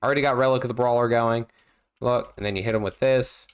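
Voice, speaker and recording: A man's voice: medium pitch, fairly even intonation, quick pace, clear, resonant tone. Nasal-sounding man streaming a game. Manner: rambling, many fillers and restarts, chuckling. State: nasal from feeling unwell. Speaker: gaming livestream host. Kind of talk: casual livestream commentary while playing.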